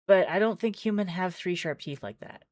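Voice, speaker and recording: Female game streamer, low register, chatty reader who thinks aloud; slightly roomy sound, faint music underneath. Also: normal speed, a little mellow, clean studio sound. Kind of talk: game playthrough commentary, reading aloud.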